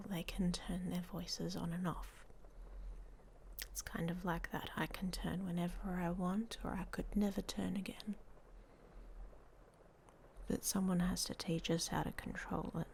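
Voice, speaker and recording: A woman playing a guarded, hot-tempered young werewolf in an ASMR roleplay, speaking in breathy half-whispers with a hesitant, dryly blunt manner. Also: on the low side, little pitch movement, a little slow, a little mellow. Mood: quiet, confiding. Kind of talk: ASMR roleplay audio story, intimate monologue. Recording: faint background noise.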